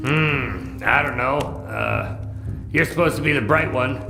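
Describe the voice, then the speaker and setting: A man playing a creaky-voiced, cocky adventurer. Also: mid-range voice, unhurried. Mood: flippant, impatient. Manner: flippant, sarcastic, casual. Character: cocky, impatient, joking male adventurer. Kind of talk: radio drama acting. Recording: roomy echo, music underneath. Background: music.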